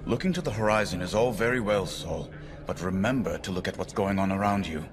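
An actor playing a sober, seasoned middle-aged military pilot in a video game, measured and cautioning. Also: male voice, sits low, expressive, background noise. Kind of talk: video game cutscene acting.

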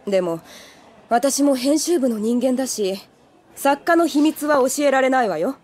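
Lively, high-voiced office editor. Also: female voice, unhurried. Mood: businesslike. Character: brisk, amused adult woman editor at a publisher. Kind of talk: anime audio drama acting.

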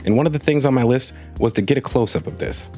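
A mid-pitched man narrates a scripted space news video over faint background music. He tells it as a story, dry and wry, with comic asides. The voice-over is clean and studio-made. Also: fast, a little mellow.

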